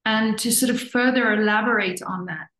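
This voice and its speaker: A woman's voice: on the high side, moderate pace, a bit bright. A middle-aged woman, born in the US and raised in Zimbabwe, talking earnestly as an interview guest and repeating words for emphasis. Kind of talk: reflective conversational talk. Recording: echoey room.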